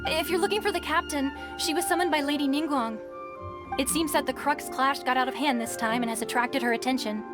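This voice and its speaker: An actress plays a young woman officiating a contest in a video game. Her voice is on the high side, courteous and earnest as she explains, with some music in the background. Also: fairly even intonation, brisk, lightly acted. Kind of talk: English video game voice acting.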